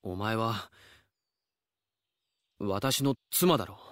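An actor playing a proud, curt, jealous husband of rank in a Japanese audio drama. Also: male voice, fairly low pitch, animated, extremely slow, fairly bright tone, dry recording, clean studio sound, full character voice. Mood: irritated, protective. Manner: curt, brusque, sulky.

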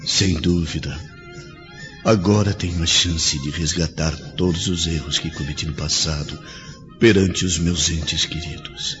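A man's voice: low voice, wildly swooping pitch, a little slow, a bit bright, full character voice. A man playing a remorseful young Roman officer. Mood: resolute. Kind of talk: radio drama inner monologue. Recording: long reverb, dull lo-fi sound, music behind. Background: music.

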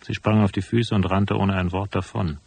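A cool private detective from a radio crime drama. His voice sits fairly low with heavy creak. He is laconic and shrewd, laying things out dryly and deliberately. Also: level tone, a little mellow. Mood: tense narration. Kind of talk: German radio crime drama acting with first-person narration.

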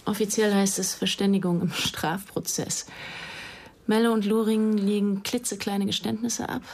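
An actress playing a weary, bitter police investigator in a radio crime drama, terse and sardonic. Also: female voice, medium pitch, steady tone, moderate pace, a little mellow, slight background noise, lightly acted. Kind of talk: naturalistic German radio crime drama acting.

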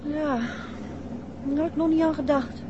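An actress playing a detective's curious, worried wife in a 1960s radio drama. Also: female voice, sits high, flat delivery, normal speed, lightly acted. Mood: pensive, worried. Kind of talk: 1960s radio play acting. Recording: dull, noisy old radio recording.

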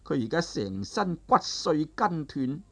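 A male storyteller voicing an aged woman martial arts master who is bitter and grieving, in a high strained falsetto that wavers between laughter and sobbing. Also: very animated, measured pace, gravelly, fairly bright tone, full character voice. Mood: mocking. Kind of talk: traditional storytelling, voiced character dialogue.

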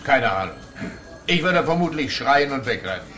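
Police detective, sharp tense voice, pompous, sarcastic, incredulous, comic radio play. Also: male voice, medium pitch, sing-song. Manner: incredulous, officious, dramatically drawn-out phrasing.

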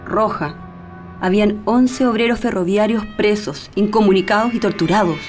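A woman's voice: medium pitch, moderate pace, a little mellow, natural voice. A woman playing a sorrowful, insistent witness recalling past atrocities in a radio drama. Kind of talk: radio drama acting, reminiscence. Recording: faint music under the voice.

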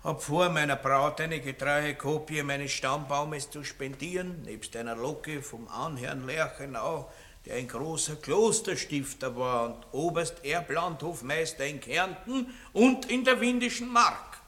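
A man's voice: on the high side, a little slow, bright, forceful tone. An actor playing a boorish, lecherous middle-aged nobleman in a 1960s radio play, boastful and rambling, with an Austrian accent. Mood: pompous.

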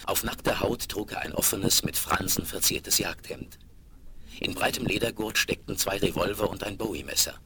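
A man's voice: sits low, moderate pace, gravelly, sharp tone. A man narrating a Western audio drama in a dramatic, scripted storytelling style. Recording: very noisy 1970s vinyl rip.

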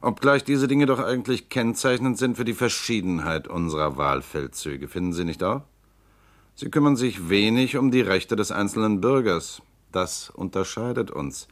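An actor playing a composed, precise lawyer and political candidate in a radio drama, speaking calmly and politely. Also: male voice, on the low side, normal speed, lightly acted. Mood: cool. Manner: calm, precise, coolly polite. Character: suave composed male lawyer-politician suspected robot. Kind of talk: 1960s-70s radio drama acting.

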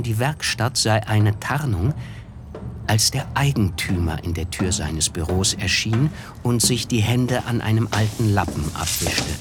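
An actor playing a reflective, observant first-person narrator in a literary radio play, measured and descriptive. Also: male voice, lowish voice, noisy background.

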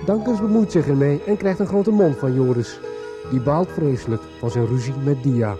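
A man's voice: medium pitch, moderate pace. A man with a Netherlandic Dutch accent reading a scripted recap as narrator of a radio drama serial. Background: music.

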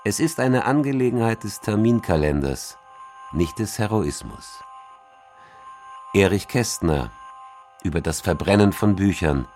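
A man performs a solemn recitation in a fairly low voice. He leans on rhetorical emphasis and weighty pauses, like a stage reading of a historic speech. Faint music plays behind him. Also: expressive, darkish tone. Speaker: stage reader of a quoted speech.

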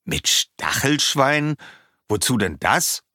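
A man voicing a curious, sceptical talking swallow who keeps asking doubting questions, with a husky voice. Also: medium pitch, very animated, slow pace, pushed and bright, no room sound, studio quality, voice pushed up, exaggerated voice. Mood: puzzled.

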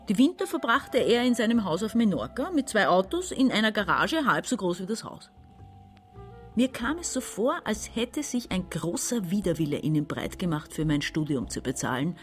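Radio play: frustrated woman of about forty, female actor, lively pitch, insistent, nursing a grievance. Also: lightly acted. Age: middle-aged. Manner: reproachful, insistent. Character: embittered, frustrated educated woman around forty. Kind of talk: radio play dialogue and monologue.

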